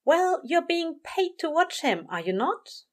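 A woman voicing a gentle, earnest male angel bookseller in an audio story, soothing, polite and a little prim. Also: very high voice, sing-song, unhurried, voice pushed up, exaggerated voice. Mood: amused, chiding.

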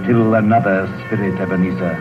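Actor as low-voiced, solemn aged Christmas spirit. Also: male voice, fairly even intonation, a little fast, natural voice. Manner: grave, portentous. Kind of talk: vintage radio drama acting. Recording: muffled old radio broadcast, music behind.

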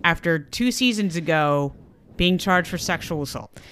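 A woman's voice: fairly low pitch, animated, normal speed, fairly bright tone, clean tone. A woman co-hosting a casual sports chat, emphatic and repeating her points for effect. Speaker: sports talk show co-host.

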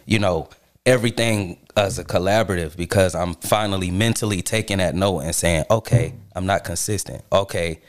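A man from Charlotte, born in New York, talking as a podcast guest in an earnest, deliberate way. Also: sits low. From Charlotte, North Carolina, born in New York. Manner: earnest, deliberate, checks in with "right?". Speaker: guest musician. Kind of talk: casual conversation.

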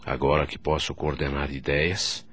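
An actor playing a remorseful doctor in the afterlife, telling his story gravely and sorrowfully in a Brazilian radio drama, with a Brazilian accent. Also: very deep voice, level tone, moderate pace, fairly bright tone, exaggerated voice. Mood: suffering. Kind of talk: dramatic first-person radio narration.

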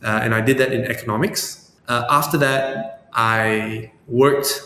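A man's voice: steady tone, unhurried, gravelly, bright, forceful tone, soft background music. A man from Malaysia in his thirties, a startup founder answering interview questions thoughtfully, with many fillers and tag questions. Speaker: startup founder and CEO guest. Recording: echoey room with long reverb, faint rumble.

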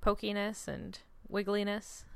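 A woman's voice: medium pitch, flat delivery, measured pace, creaky, smooth, no room sound. A woman in casual, informal conversation, with a wry and playful manner. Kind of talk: casual chat.

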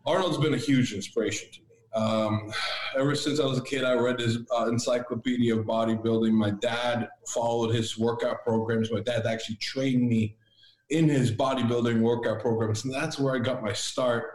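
A man who grew up speaking Latvian, a podcast guest talking in an earnest, reflective way with halting restarts. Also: medium pitch, fairly even intonation, darkish tone. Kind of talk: casual interview chat. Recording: roomy echo.

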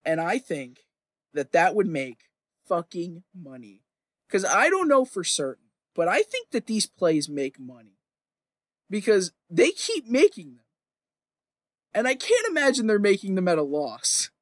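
A podcast host talks in a casual, opinionated ramble. The voice sits at a middling pitch, recorded dry with little room sound. The delivery is emphatic and sweary, circling back to repeat phrases for emphasis. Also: sing-song, unhurried, dry recording.

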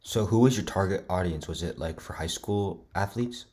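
A man's voice: low voice, normal speed, mellow. A man interviewing an author, asking brief plain questions.

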